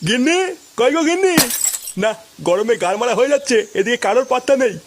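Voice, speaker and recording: A man's voice: very high voice, expressive, fast, noisy background. A man voicing an irritable, blustering old grandfather in a comedy cartoon. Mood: grumpy, irritated. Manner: cursing, blustering, complaining. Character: foul-mouthed, irritable old grandfather, grumbling and bellowing.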